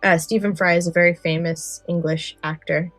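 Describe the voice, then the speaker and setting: A woman with a slightly low voice chats as a podcast co-host, casual and enthusiastic. She is recorded dry and close, with music playing underneath. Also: steady tone, moderate pace, fairly bright tone, dry recording. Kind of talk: casual chat.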